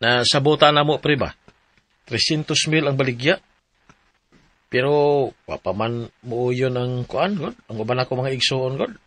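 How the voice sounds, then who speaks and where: An actor with a Cebuano Filipino accent playing a friendly, persuasive buddy in a radio drama, with a chummy, coaxing manner. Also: male voice, mid-range voice, a little slow, a bit bright, no room sound. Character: friendly, persuasive male buddy pawning his land.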